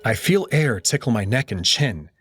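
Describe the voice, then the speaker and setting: A man narrating a visual novel in the first person with dramatic, expressive storytelling. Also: medium pitch, moderate pace, husky. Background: music.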